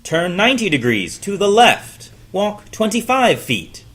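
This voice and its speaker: A woman's voice: medium pitch, big pitch swings, measured pace, fairly bright tone, slightly rough, full character voice. A woman voicing an impassive computer assistant in an audio drama, announcing readouts in a robotic, clipped manner. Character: deadpan wrist-worn computer assistant issuing instructions and readouts. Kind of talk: acted audio drama reading.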